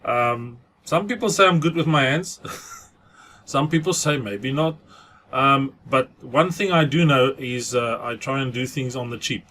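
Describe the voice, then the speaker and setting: A man's voice: mid-range voice, a little slow, sharp tone. South african guy rambling on his channel, mid voice, bit of hiss behind. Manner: chatty, mixes in Afrikaans phrases. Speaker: vlogger. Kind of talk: casual vlog monologue.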